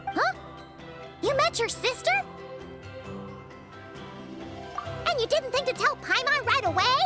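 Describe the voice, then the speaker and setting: A woman voicing a small, childlike fairy companion in a video game, squeaky, excitable and caring. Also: normal speed, soft background music, full character voice. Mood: surprised. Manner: refers to self in third person, expressive.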